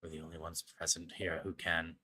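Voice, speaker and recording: A man voicing a solemn, weary king in a game, speaking in a slow, grave, imploring way. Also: deep voice, flat delivery, pushed and bright, voice pushed down, full character voice. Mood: grave pleading. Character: solemn, pleading, world-weary king addressing a chosen traveler. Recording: music behind the voice.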